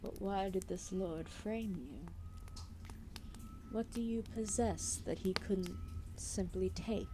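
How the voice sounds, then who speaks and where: A woman playing a calm, solemn, ancient serpent deity in an ASMR roleplay, speaking softly and formally with deliberate pauses. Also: mid-range voice, noisy background, natural voice. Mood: calm, inquisitive. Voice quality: soft, breathy, often dropping into whispers. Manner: slow, deliberate, formal phrasing with long pauses.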